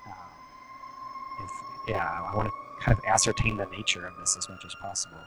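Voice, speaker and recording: A man's voice: on the low side, wildly swooping pitch, brisk, background noise. A man playing a tabletop game, hesitant and thinking aloud about his moves. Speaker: player. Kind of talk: casual game chat.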